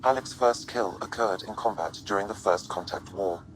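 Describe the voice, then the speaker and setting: An actor voicing a calm, literal-minded artificial intelligence in a video game, speaking formally with deadpan precision. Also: male voice, fairly low pitch, moderate pace, a little hiss or hum, lightly acted, poor audio. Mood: calm, factual.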